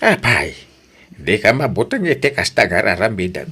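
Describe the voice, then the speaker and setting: Scolding Ilocano father with swooping pitch. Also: very animated, moderate pace, sharp tone, husky, exaggerated voice. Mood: reproachful. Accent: Filipino, Ilocano. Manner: reproachful, lecturing. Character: stern, scolding middle-aged father. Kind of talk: radio drama acting.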